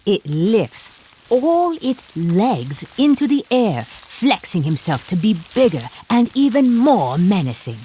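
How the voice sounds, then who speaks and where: A woman narrating an audio drama in a calm, measured way. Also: medium pitch, slight background noise, poor audio.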